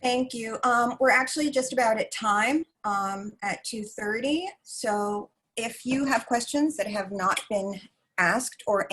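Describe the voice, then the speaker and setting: Woman, forum moderator, fairly high voice, polite procedural announcements. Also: a bit bright.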